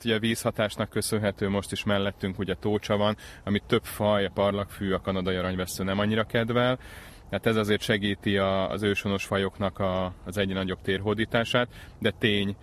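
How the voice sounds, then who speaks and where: A man explaining things as a conservation specialist in an outdoor interview, measured and precise. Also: on the low side, steady tone. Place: outdoors in a wetland reserve.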